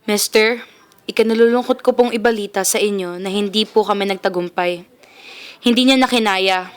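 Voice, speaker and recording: A woman's voice: medium pitch, fairly even intonation, moderate pace, close to an everyday voice. A young woman with a Filipino accent playing a grave, formal doctor in an amateur radio drama. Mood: grave, regretful. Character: grave, formal doctor delivering frank medical news.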